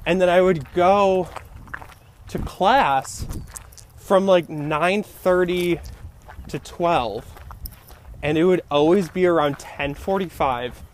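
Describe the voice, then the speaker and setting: A young man co-hosting a casual podcast, his voice sitting a little higher than most men's. He rambles loosely with plenty of fillers and breaks into laughter often. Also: takes it slowly, long pauses, slight background noise. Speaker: podcast co-host. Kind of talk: casual chat.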